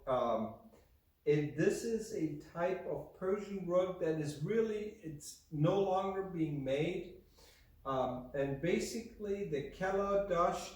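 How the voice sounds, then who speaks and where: Slow-talking man in a very echoey room. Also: fairly high pitch, darkish tone. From Germany. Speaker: video host and Persian rug specialist. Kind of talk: unscripted product presentation. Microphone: camera mic from far away. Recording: very echoey room, distant microphone.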